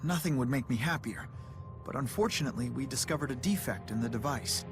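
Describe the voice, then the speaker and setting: A man's voice: mid-range voice, expressive, brisk, music in the background, lightly acted. An actor playing a polite, earnest young male doctor in a video game, courteous and conciliatory. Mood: apologetic, earnest. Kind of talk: video game dialogue acting.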